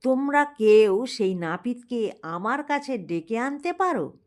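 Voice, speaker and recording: A shrewd old doctor played by a woman narrator in a storybook tale. He speaks slowly and with measured care, like a senior mentor advising his juniors. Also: fairly high pitch, very animated, darkish tone, voice pushed up. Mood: coaxing, advisory.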